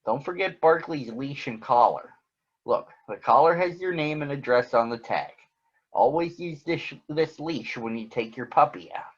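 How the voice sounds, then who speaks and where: A kindly grandmother bird from a storybook, played by a man. The manner is gentle and instructive, at a medium pitch. The sound is a little dull. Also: lively intonation, moderate pace, husky. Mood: cautioning.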